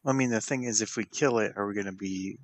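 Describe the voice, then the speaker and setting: A man with a fairly low voice plays a tabletop role-playing game. His delivery is casual and conversational, the talk of a player at the table. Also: fast.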